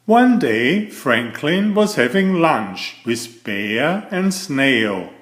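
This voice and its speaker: Slow, lively man reading a storybook, echoey room. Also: a little high. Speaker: storybook narrator. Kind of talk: read-aloud children's story narration.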